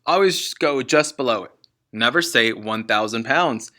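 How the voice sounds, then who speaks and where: Man performing a crooked boastful father, storybook character, smug, blustering, forceful tone, very animated pitch. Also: measured pace.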